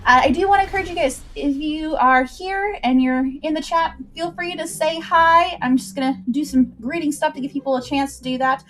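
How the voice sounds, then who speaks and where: A woman's voice: high voice, brisk, few pauses, fairly bright tone, poor audio. A woman hosting a livestream, chatting informally with viewers and recapping a story, with asides to the chat. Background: background music.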